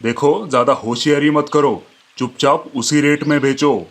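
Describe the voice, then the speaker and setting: A man's voice: a little high, steady tone, normal speed. A man voicing an arrogant, domineering village strongman who threatens people in a cartoon story. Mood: threatening. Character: arrogant bullying village strongman, adult male.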